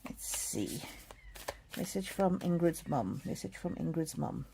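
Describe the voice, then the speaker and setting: A middle-aged woman from South Africa giving a gentle live oracle card reading, speaking softly and addressing viewers by name. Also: low-pitched, sing-song, unhurried, creaky, slight background noise. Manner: gentle, soothing, talks straight to viewers by name. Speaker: card reader.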